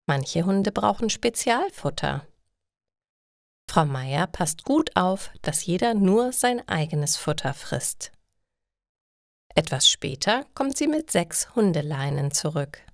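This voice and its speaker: A woman's voice: lowish voice, big pitch swings, slow, vocal fry, dry recording, studio quality. A woman reading scripted stories for language learners as a narrator. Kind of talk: slow, clearly read scripted story narration.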